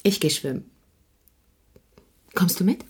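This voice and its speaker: An actress playing a writer's cool, gently teasing wife in a naturalistic radio play, understated and unhurried. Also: female voice, on the low side, breathy edge, heavily acted. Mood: cool, wry.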